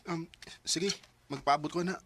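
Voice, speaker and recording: A man with a Cebuano Filipino accent acting an eager, lovestruck young student in a radio drama, husky and emotive. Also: a little high, steady tone, moderate pace, bright, forceful tone, faint music behind. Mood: pleading, resigned. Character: eager lovestruck young male student courting his girlfriend.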